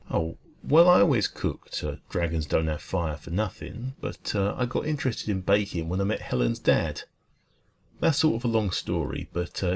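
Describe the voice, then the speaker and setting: A low, creaky-voiced dragon baker played by a man in a soft ASMR roleplay. He is kind and chatty, rambling with gentle, earnest enthusiasm and small hesitations as he talks to a customer. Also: full character voice. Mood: friendly, interested. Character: friendly, food-loving dragon café baker, kind and chatty.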